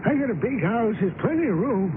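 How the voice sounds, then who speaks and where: High-pitched, earnest old gentleman. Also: animated, brisk, narrow, tinny audio. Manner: courteous, hesitant, earnest. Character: kindly, impassioned ninety-year-old nature-loving country gentleman. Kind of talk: radio drama acting. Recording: noisy, band-limited vintage radio broadcast.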